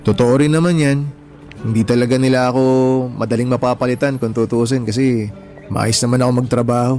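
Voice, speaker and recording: A man's voice: mid-range voice, fairly even intonation, a little fast, warm tone, soft background music, lightly acted. A man playing an earnest, humble, hardworking young husband in a radio drama, talking in a mild conversational way. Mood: earnest, determined. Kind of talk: Tagalog radio drama dialogue.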